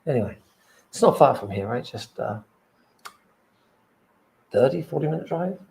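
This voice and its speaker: A man's voice: mid-range voice, lively intonation, normal speed, gravelly, dark tone, slight background noise. A man who grew up in England, chatting hesitantly on a livestream. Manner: hesitant, hedging. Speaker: livestream co-host. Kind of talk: casual livestream chat.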